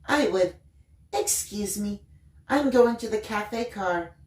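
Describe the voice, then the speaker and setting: Serene, mysterious young female bookworm, voiced by a woman reader; audiobook dialogue, expressive intonation, roomy sound. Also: medium pitch, slow pace. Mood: serene, cryptic. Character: Michaela, serene enigmatic bookish young woman.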